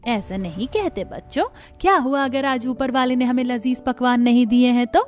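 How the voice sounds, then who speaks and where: A woman's voice: on the high side, moderate pace, close to an everyday voice. A woman voicing a patient, poor elderly grandmother in a cartoon story. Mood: gentle consoling. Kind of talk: Hindi cartoon story voice acting.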